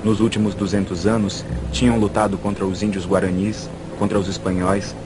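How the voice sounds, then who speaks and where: A man's voice: low-pitched, flat delivery, fairly quick, background noise. A man with a Brazilian accent delivering scripted documentary narration. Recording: voice over loud music. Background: prominent background music.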